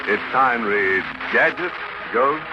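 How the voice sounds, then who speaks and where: A man playing a sly, macabre horror host on 1940s radio, theatrical and drawn-out, relishing morbid puns. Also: medium pitch, expressive, loud music behind the voice. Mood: ghoulish glee. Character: ghoulish male horror host, slyly macabre and mock-welcoming. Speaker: host and narrator of a horror show. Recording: noisy, muffled 1940s radio recording.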